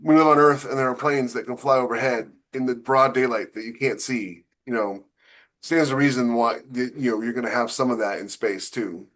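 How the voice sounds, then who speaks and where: Mid-pitched man chatting on a podcast. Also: steady tone, brisk, a bit bright. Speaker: podcast panelist, science fiction author. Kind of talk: casual panel discussion.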